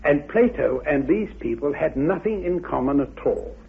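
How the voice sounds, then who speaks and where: A man with a gravelly, husky voice giving formal, deliberate expert commentary on a dull old radio recording. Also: fairly high pitch, lively intonation. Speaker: commentator, researcher.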